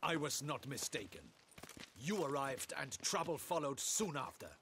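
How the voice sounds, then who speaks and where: An actor playing a proud, blustering captain of the guard in a video game, with a formal, pompous and scornful manner. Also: male voice, fairly high pitch, sing-song, moderate pace, a bit bright, slight background noise. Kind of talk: video game cutscene acting.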